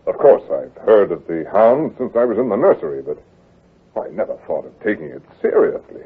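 Man, proud young gentleman heir, husky, animated intonation, blunt, old radio drama, tinny vintage recording. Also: fairly low pitch, a little fast, exaggerated voice. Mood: puzzled, wry. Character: proud, stubborn, hearty young male heir.

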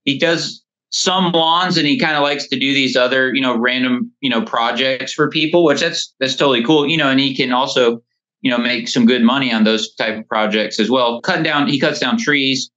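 A man in his thirties with a bright, forward voice and a middling pitch. He talks casually and ramblingly, like a guest sharing stories, over a dull phone connection. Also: normal speed. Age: 30s. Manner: rambling, frequent "you know". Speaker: podcast guest, lawn care business owner. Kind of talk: casual interview storytelling. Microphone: phone call. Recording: dull, band-limited phone call.

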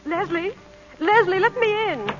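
A sociable, solicitous hostess in a vintage radio play. Her voice is high. The old recording is dull, with music behind it. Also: animated, measured pace. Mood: concerned, coaxing. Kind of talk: 1940s radio acting.

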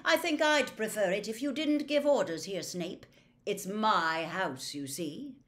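A woman performs a grown wizard godfather in a storybook reading. He is loud and defiant, with bold swings in pitch. Every phrase lands as a challenge. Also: lively intonation, a little slow. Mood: mocking defiance. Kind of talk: audiobook character voice.

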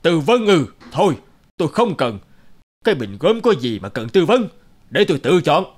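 An actor playing a pompous, boastful know-it-all husband in a cartoon folk tale. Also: male voice, fairly high pitch, very animated, normal speed. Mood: dismissive. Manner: boastful, self-important.

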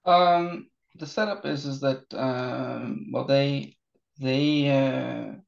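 A man with an American accent answering as a guest expert in a webinar interview, talking haltingly with many restarts and fillers. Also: medium pitch, fairly even intonation, gravelly, muffled recording. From born in Seattle, raised in Norway.

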